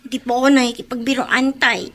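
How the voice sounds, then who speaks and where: An actress playing a sulky, resentful young daughter in a Filipino radio drama, petulant and stubborn, with a Filipino accent. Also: female voice, high voice, steady tone, fast talker, a little hiss or hum. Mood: resentful, accusing. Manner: petulant, whiny.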